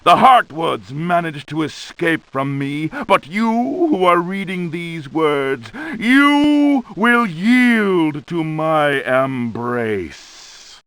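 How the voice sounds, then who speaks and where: An undead evil spirit from a horror video game, gloats with theatrical menace. His voice is bright and forceful, crisply clear and recorded dry. He draws out his grandiose taunts like a villain savouring his power. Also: on the high side, sing-song, no echo, exaggerated voice. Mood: gloating menace. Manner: grandiose, drawn-out, taunting delivery.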